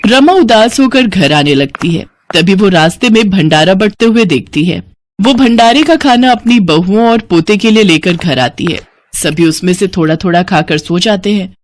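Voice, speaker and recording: A man's voice: high-pitched, slight background noise. A man narrating a scripted moral story for a cartoon.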